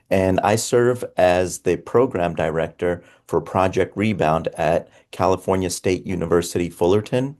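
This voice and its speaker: A middle-aged man from Afghanistan introducing himself on a discussion panel, speaking in a formal, measured way with frequent uhs. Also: low-pitched, a little mellow. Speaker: program director, panelist.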